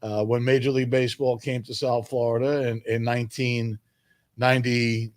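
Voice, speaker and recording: An older man from New York reminiscing as an interview guest, speaking in a measured way with emphatic phrasing. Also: mid-range voice, level tone, dry recording, studio quality. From New York, United States. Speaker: guest, sports public address announcer.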